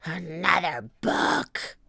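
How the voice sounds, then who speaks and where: A woman's voice: fairly low pitch, gravelly, pushed and bright, breathy edge, no room sound, studio quality, heavily acted. Woman putting on a roaring, greedy pirate captain. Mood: disgusted, angry. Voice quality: growling, roaring. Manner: bullying, commanding, drawn-out threats. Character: huge, mean, gold-greedy male pirate captain, roaring and growling.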